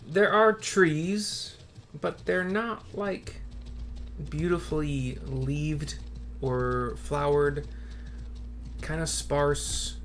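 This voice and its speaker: A man giving casual gameplay commentary in a rambling, halting, offhand way. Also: on the high side. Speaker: video game streamer. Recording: clear background noise, keyboard typing.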